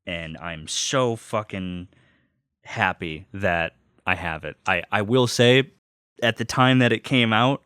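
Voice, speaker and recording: Deep-voiced man gushing earnestly. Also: slow, a bit bright, clear, resonant tone, no room sound, clean studio sound. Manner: earnest, gushing, swears casually. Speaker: host. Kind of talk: casual monologue, enthusiastic vinyl review.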